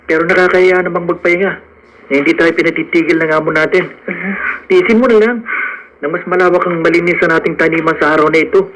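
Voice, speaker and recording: An actor playing an earnest, hopeful young farmhand with a wistful manner in a Filipino radio drama. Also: male voice, on the high side, steady tone, quick pace, a bit bright, lightly acted, rough recording. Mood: earnest, patient. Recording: radio broadcast with faint noise.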